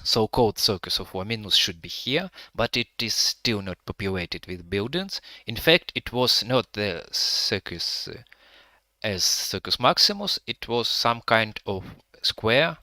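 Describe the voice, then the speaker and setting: Slow, bright-voiced man with a Russian-Ukrainian accent. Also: fairly low pitch, no room sound. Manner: hesitant, pausing to find words; halting non-native English with frequent fillers. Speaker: virtual tour guide. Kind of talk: live-streamed virtual walking tour.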